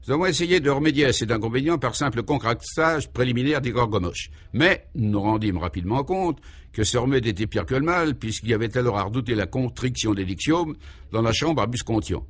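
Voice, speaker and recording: A man's voice: medium pitch, expressive, brisk, lightly acted. A man voicing a pompous, exalted inventor who lectures grandiloquently in solemn nonsense jargon for a comic radio serial. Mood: grave, earnest. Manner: grandiloquent, emphatic, oratorical.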